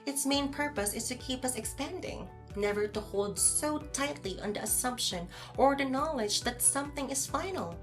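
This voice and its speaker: A woman acting as a calm, know-it-all philosopher in an audio drama podcast, explaining things to a friend with formal, measured wording. Music plays softly behind the lecture. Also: high-pitched. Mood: earnest, measured.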